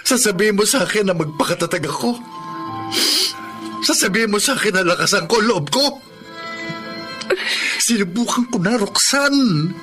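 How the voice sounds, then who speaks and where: An actor with a Filipino accent playing a bitter, despairing husband in pain in a radio drama, anguished and emotional. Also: male voice, high-pitched, animated, moderate pace, long pauses. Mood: anguished outburst. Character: despairing sick husband in constant pain, bitter and guilt-ridden. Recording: dramatic music behind the voice.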